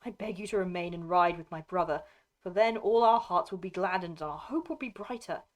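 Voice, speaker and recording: A woman's voice: lowish voice, fairly quick, natural voice. A woman reader plays a young shield maiden of noble birth in a fantasy storybook. She is proud and fervent, with a bitter edge, and she speaks in formal, archaic phrases. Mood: urgent pleading. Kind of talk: dramatic audiobook character reading.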